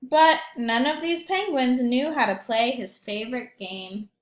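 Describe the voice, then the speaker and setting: A woman teacher reading aloud and talking to young children in an expressive storytelling manner, with questions and asides. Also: high voice, unhurried, roomy sound. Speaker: primary school teacher reading aloud to pupils.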